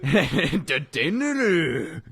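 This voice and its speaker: A man's voice: a little high, sing-song, drawn-out words, gravelly, fairly bright tone, husky. A man co-hosting a loose live-stream talk show, rambling and self-mocking. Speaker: co-host.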